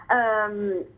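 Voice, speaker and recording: A woman from Ecuador answering interview questions, hesitant, with many fillers and frequent throat clearing. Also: medium pitch. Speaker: interviewee, artist. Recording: phone-like narrow sound.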